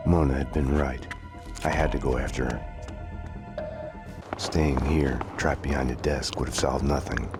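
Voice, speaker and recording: Hardboiled cop for a noir game: extremely low, brooding, dry and sardonic, over music. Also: male voice, unhurried, pauses often. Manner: deadpan, sardonic. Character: brooding, world-weary hardboiled male police detective. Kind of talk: noir voice-over narration and terse dialogue. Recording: music under the voice.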